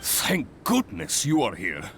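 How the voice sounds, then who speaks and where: A man's voice: fairly high pitch, slow pace, vocal fry, slight background noise, heavily acted. A man voicing a cheerful, courteous adventurers' guild organizer in a game cutscene. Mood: busy. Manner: bright, animated, courteous.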